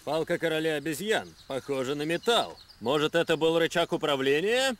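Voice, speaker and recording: An actor voicing a level-headed jungle explorer in a cartoon, speaking in a calm, persuasive way. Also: male voice, a little high, fairly quick. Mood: matter-of-fact. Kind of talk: animated adventure cartoon dub.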